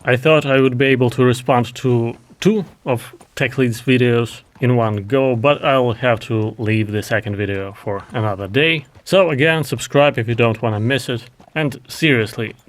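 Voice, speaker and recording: A man's voice: medium pitch, normal speed. A man from Ukraine, a Russian speaker, hosting a heated commentary in a sarcastic, mocking tone. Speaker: commentary host. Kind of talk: reaction commentary.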